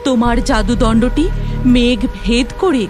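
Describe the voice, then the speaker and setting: A woman plays a gentle cartoon cloud fairy with a high voice. She speaks slowly and earnestly, like someone giving careful advice. Music plays behind her. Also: close to an everyday voice. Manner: earnest, advising. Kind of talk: children's cartoon voice acting.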